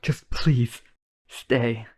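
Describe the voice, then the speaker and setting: A man's voice: medium pitch, very slow, dark tone, husky. A man playing a lonely, obsessive, wealthy vampire brother in a boyfriend ASMR roleplay, coaxing and polite. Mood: pleading.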